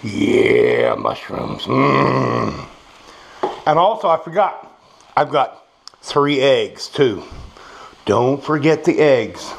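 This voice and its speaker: A man's voice: mid-range voice, very animated, drawn-out words, creaky, pauses often. A man with toothless speech giving a casual, folksy cooking demonstration as a home cook. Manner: folksy, savoring murmurs. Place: small home kitchen, indoors. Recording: noisy kitchen with frying sizzle. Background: frying food, clicks.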